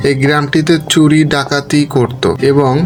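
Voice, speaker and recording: A man narrating a cartoon story in a measured, storybook manner. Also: a little high, little pitch movement, music in the background.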